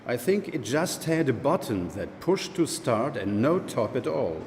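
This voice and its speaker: A man reads an interview transcript aloud as if chatting, his pitch rising and falling freely. The sound is roomy, with a long hall reverb. A faint noise sits underneath. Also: sing-song, measured pace, darkish tone. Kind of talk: reading an interview script aloud. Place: gallery room, indoors. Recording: echoey gallery room, faint noise.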